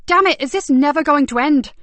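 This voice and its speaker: A woman reading a forceful female detective inspector in an audiobook, speaking loudly and urgently. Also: a little high, brisk, no room sound, close to an everyday voice. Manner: sharp and urgent.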